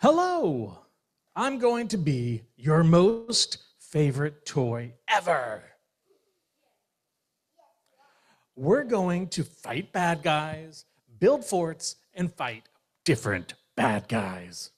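A man's voice: on the high side, very animated, slow, dry recording, clean studio sound, exaggerated voice. A man reading a storybook aloud and voicing a boastful toy bear who is eager and a little indignant. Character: cheerful boastful toy bear, proud of his pockets.

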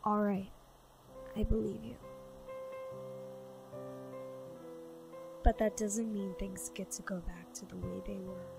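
A woman's voice: mid-range voice, level tone, normal speed, vocal fry, over music, natural voice, rough recording. A woman playing a proud, determined young cartoon princess with a firm, commanding manner. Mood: guarded, firm.

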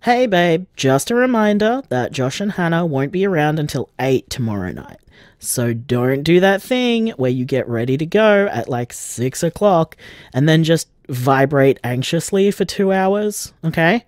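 Actor doing a young man, teasing, doting, slow, lively pitch, dry close sound. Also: no room sound. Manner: warm teasing reminders. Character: affectionate young man, partner. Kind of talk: audio drama voicemail.

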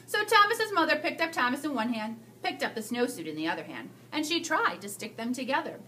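A woman with a high, very lively voice reads a story to young children. She stretches words for emphasis and keeps an animated storytelling delivery. Clear background noise sits behind her in the room. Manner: expressive, drawn-out emphasis for young listeners. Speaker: school staff storyteller reading to children. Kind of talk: animated children's read-aloud.

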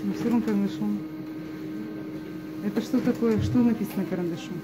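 A woman election observer arguing loudly and insistently in a polling station office. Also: medium pitch, fairly even intonation, brisk. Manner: insistent, demanding, loud. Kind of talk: heated argument. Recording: noisy room.

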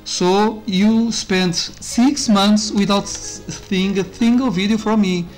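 A man's voice: high-pitched, steady tone, slow, rough recording. Casting note: man, chatty game commentary, loose and rambling, with faint game music underneath. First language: Portuguese. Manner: rambling, self-interrupting, with frequent fillers and laughs. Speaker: YouTuber reviewing a game. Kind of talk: casual gameplay commentary.

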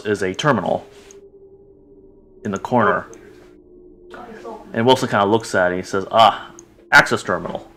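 A man's voice: on the low side, normal speed, long pauses, pushed and bright, slight background noise. A man acting as game master, casually narrating a tabletop game in an easygoing way.

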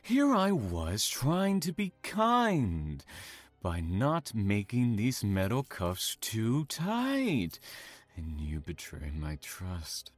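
A man acts out a sadistic serial killer in an audio drama. His low, swooping voice moves slowly and theatrically, gloating and taunting, with menacing drops to a whisper. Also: wildly swooping pitch, dry recording, exaggerated voice. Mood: cold, threatening.

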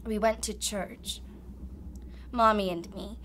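A woman playing a grown woman with a child's mind in an audio drama, speaking simply and haltingly. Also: medium pitch, fairly even intonation, a bit bright, slight background noise. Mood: childlike, earnest.

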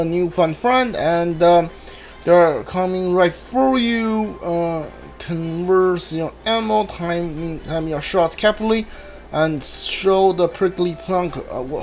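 A man giving casual video game commentary in a halting way, stumbling over his words. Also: high voice. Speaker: gamer streaming a playthrough. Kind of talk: casual gameplay commentary, reading dialogue aloud. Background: game music.

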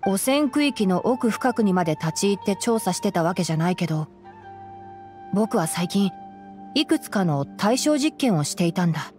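Casting: woman as boyish young ranger scholar, mid-range, gentle, analytical, anime game style. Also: medium pitch, big pitch swings, music in the background, natural voice. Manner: measured, explanatory, soft-spoken. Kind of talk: Japanese anime game voice acting.

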